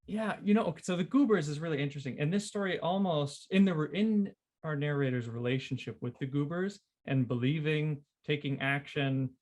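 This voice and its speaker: Higher-voiced man hosting a casual book chat. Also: fairly quick, hardly any pauses, a little mellow. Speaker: podcast host. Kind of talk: casual literary discussion.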